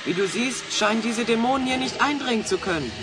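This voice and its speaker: A man's voice: very high voice, animated, fairly quick, noisy background. A man playing a bold, resourceful adventurer hero in a German children's audio drama, eagerly explaining his plans. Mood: urgent, excited.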